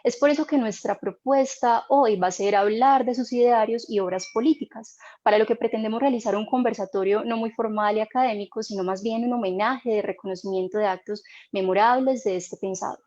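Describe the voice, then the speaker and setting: A woman moderating an online panel, reading a formal introduction in a measured way. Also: on the high side, steady tone, a little mellow, dull audio.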